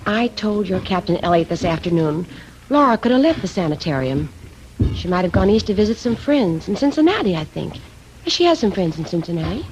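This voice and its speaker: An actress playing a haughty, defensive murder suspect in a 1950s radio drama, indignant and formal in manner. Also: female voice, lowish voice, big pitch swings, brisk, background noise. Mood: defensive, evasive. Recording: old radio recording with music.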